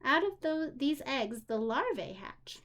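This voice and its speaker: A woman teacher reading aloud to young children in an expressive manner, pausing for simple explanations and questions. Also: high-pitched. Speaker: special education teacher.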